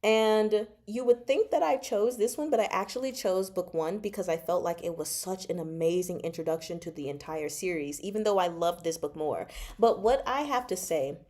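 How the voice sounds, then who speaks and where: A woman hosting a casual book discussion, chatty and expressive, often pausing mid-thought. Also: lowish voice. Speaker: book discussion vlog host. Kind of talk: casual live book chat.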